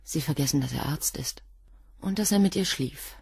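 An actress playing a cool, devoted assistant to a professor in a radio drama, answering questions in a guarded, curt way. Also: female voice, low voice, normal speed, vocal fry, darkish tone, breathy edge, no echo, clean studio sound, full character voice. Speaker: witness under questioning.

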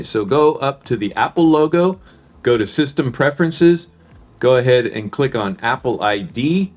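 A middle-aged man hosting a tech tutorial, walking through steps in a clear, upbeat, chatty way, recorded in a living room. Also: medium pitch. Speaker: tech video host. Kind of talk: step-by-step tutorial narration.

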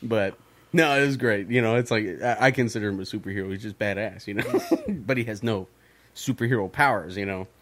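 A man's voice: on the low side, a little fast, fairly bright tone. A man co-hosting a podcast in casual chat, rambling and swearing, often laughing. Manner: profane, rambling, laughs a lot. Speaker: podcast co-host.

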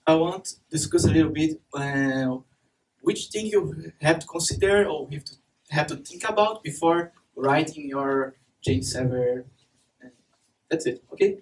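A man's voice: fairly high pitch, measured pace, darkish tone. Young Brazilian man, laughing a lot. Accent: Brazilian Portuguese. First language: Portuguese. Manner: chatty, laughs often, many fillers. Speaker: conference speaker. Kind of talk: conference talk. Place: dark event hall with stage and projector. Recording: distant mic with faint noise.

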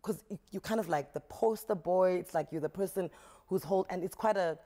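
A woman's voice: fairly low pitch, a little fast, dark tone. Woman in her 30s hosting a tv talk show, playful and chatty, laughs easy, lapel mic in studio. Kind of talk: casual studio interview. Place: tv studio set.

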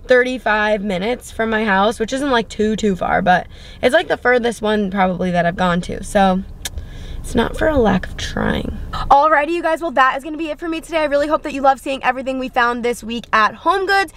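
A woman's voice: on the high side, fairly quick, a bit bright. A young woman from Florida, a home decor vlogger, chatting casually and gushing about her finds while filming in stores and later from a parked car. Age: young adult. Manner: bubbly, gushing, filler-heavy. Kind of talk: casual shopping vlog chat. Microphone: handheld camera.

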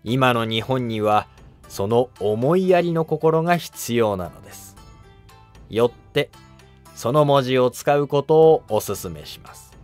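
An actor playing a humble, cautious monk who advises an emperor in formal, deferential court speech in a historical drama. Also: male voice, mid-range voice, expressive, takes it slowly, darkish tone, faint music behind. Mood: calm, advising. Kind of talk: Japanese historical drama dialogue.

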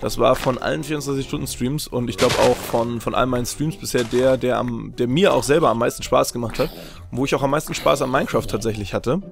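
A young man, slightly hoarse, chatting casually and rambling with many fillers as he comments on his own game. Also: medium pitch. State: slightly hoarse after a long stream. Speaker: Let's Play host.

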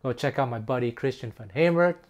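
A middle-aged man who grew up speaking Taiwanese, a music teacher talking casually to camera in an earnest, reflective, slightly halting way. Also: medium pitch. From French-speaking Canada. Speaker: guitar teacher. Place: small room indoors.

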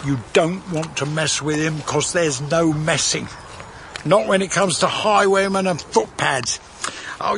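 A man's voice: sits high, a bit bright, a little hiss or hum. An actor playing a folksy, teasing old-time countryman in a radio drama, with archaic turns of phrase. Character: cagey, chatty old-time English countryman ghost, gruffly folksy.

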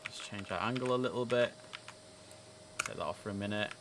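A man's voice: fairly low pitch, fairly quick, background noise. A man in his thirties giving casual live game commentary, chatty, switching between asides and formal controller calls. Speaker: streamer playing an air traffic controller. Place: indoor room, live stream.

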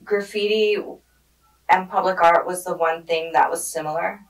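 A young woman born in Germany and raised in Hungary, answering interview questions in a relaxed way with many fillers, on a podcast mic in a studio. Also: on the low side, normal speed, distant mic, low-quality recording. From born in Germany, grew up in Hungary. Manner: relaxed, thoughtful, with frequent fillers. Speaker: guest, street art curator. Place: studio with dark padded walls. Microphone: podcast mic on a desk arm.

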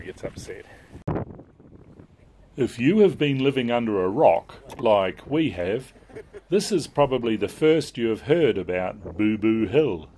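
A man's voice: on the low side, a little mellow, slight background noise. A man hosting a sailing vlog, casually narrating with a wry, joking manner, recorded outdoors on a sandy island on a camera mic.

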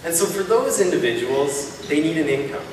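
A man in his thirties delivers a keynote from a podium, steady and persuasive, stacking his points in rhythmic runs. His voice rings out through a big reverberant hall. The recording is taken from well back in the room, with a clear hum of ambient noise underneath. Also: fairly high pitch, normal speed, far from the mic. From Canada. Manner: earnest, persuasive, builds points in rhythmic lists. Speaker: keynote speaker. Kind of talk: public speech. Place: large hall, at a podium on stage. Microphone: podium mic, filmed from far off.

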